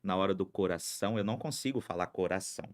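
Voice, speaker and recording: Brazilian man, podcast interviewer, fairly deep voice, dry studio sound, informal questioning. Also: fast talker, no echo. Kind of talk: casual conversational interview.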